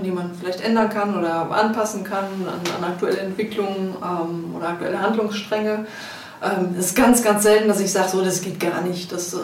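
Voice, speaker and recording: A woman's voice: medium pitch, distant mic. Woman in echoey office, off-mic. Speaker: editor of a pulp novel series, interviewee. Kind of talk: casual spoken interview answers. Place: indoors, shared office. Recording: echoey room, off-mic, noisy.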